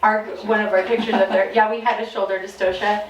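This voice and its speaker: Soft-voiced woman in a noisy, echoey room. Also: mid-range voice, level tone, fast, far from the mic. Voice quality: soft, gentle voice. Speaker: midwife leading a group prenatal session. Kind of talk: casual explaining and storytelling to a group. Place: midwife care space with group gathered.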